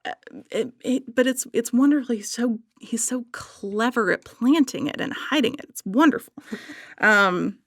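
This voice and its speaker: A woman podcast guest chatting casually and enthusiastically, often halting to search for words. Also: on the high side, no room sound, studio quality. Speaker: podcast guest, writer and illustrator.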